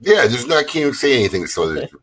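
A man's voice: mid-range voice, sing-song, rapid-fire, a bit bright, slightly rough. A man co-hosting a radio show, bantering in a joking, casual way. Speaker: radio co-host.

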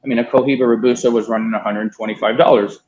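A relaxed man in his thirties from Miami tells his story in a loose, conversational way, dropping the odd swear word and acting out what others said. His voice sits in a middle pitch. The recording is dull and lo-fi. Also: rapid-fire, a little hiss or hum. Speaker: guest, cigar importer. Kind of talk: casual storytelling interview. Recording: dull lo-fi sound.

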